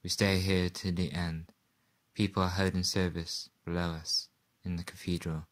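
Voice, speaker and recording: A man playing a doomed radio reporter in a radio play, giving a grave, terse eyewitness account in halting phrases. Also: deep voice, little pitch movement, close to an everyday voice. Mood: grim resolve.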